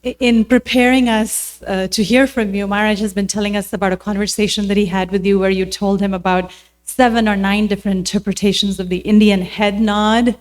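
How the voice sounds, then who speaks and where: A woman's voice: mid-range voice, fairly even intonation, a little fast. Female audience member, mid-range voice, courteous and respectful questioner.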